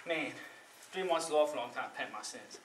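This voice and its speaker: A man's voice: on the high side, lively intonation, fast, a bit bright, distant mic, voice pushed down. A man voicing a sly, hard-drinking, folksy trickster in a told folktale, mock-apologetic, recorded in a noisy, roomy space. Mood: scheming.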